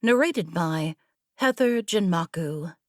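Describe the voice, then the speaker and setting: A woman reading scripted fiction as an audiobook narrator, in a measured, deliberate way. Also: fairly low pitch, expressive, no echo, studio quality.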